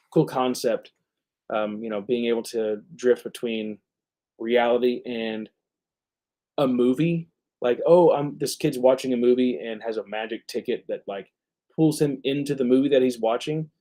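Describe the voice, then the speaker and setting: Mellow, halting man chatting casually. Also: mid-range voice. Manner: halting, searching for words. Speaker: live stream host. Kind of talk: casual rambling movie chat.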